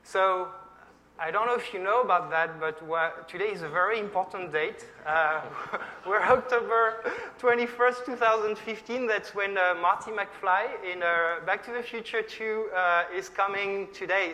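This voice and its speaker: A man's voice: sits high, sharp tone. A middle-aged man from France with a French accent, giving a friendly, chatty conference talk with many fillers and questions to the audience. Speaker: conference speaker. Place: conference hall. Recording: reverberant hall with faint background noise.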